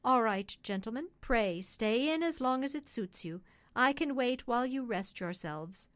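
A woman's voice: a little high, lively intonation, a little slow, a bit bright, dry recording. A woman voicing a kindly, firm male London cab driver in an audiobook story, hearty and plain-spoken. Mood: stern, droll.

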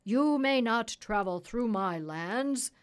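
A woman's voice: on the high side, expressive, slow, smooth, pitched above the performer's own voice. A woman voicing a stern, imperious African king in a storybook, speaking in a commanding, declamatory way. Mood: stern, aggrieved.

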